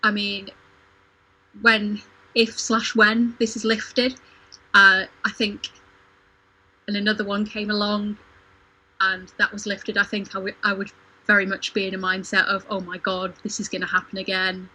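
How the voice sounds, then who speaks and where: A woman's voice: medium pitch, little pitch movement, a little slow, long pauses, bright, forceful tone, low-quality recording. A woman talking casually and conversationally as part of a panel discussion. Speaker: theatre company performer on a panel. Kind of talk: casual chat.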